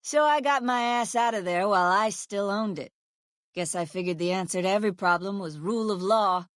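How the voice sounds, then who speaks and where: Woman voicing tough female ex-sheriff, game character, mid-pitched, blunt, foul-mouthed, sardonic, folksy grammar, close dry recording. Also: brisk, dry recording, natural voice. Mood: weary, matter-of-fact. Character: hard-bitten, foul-mouthed female caravan guard and ex-sheriff. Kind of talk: video game character voice lines.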